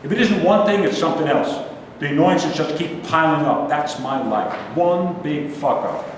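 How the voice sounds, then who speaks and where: A man's voice: moderate pace, lightly acted. A man acting a bitter old veteran, echoing hall. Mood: weary despair. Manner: aggrieved, profane ranting. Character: bitter, griping, foul-mouthed old male army veteran. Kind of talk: staged play reading. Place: indoor live staged reading. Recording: echoey hall, distant mic, rumbling noise.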